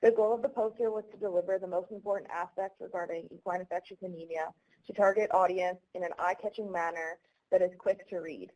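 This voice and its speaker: A young woman with a slightly low voice presents as a student on a conference call. She explains her material in a semi-scripted way. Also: fairly even intonation, fast, darkish tone, dull audio. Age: young adult.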